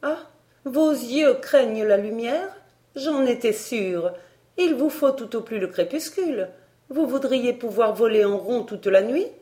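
A woman's voice: a little high, lively intonation, a little slow, darkish tone, voice pushed up. A woman voicing a sour, indignant, paranoid governess in a storybook, with a theatrical, scolding manner. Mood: sour, scornful.

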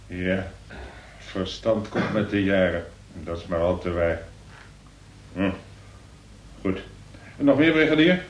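An actor playing a measured, authoritative, sententious examining magistrate in a radio play, in an echoey room. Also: male voice, sits low. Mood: musing.

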